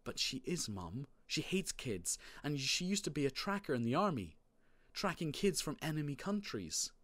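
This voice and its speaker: A man voicing an excitable, insistent young schoolboy telling tall tales in a melodramatic storybook reading. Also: a little high, normal speed, no room sound, voice pushed up. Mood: alarmed, insistent. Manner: emphatic, melodramatic.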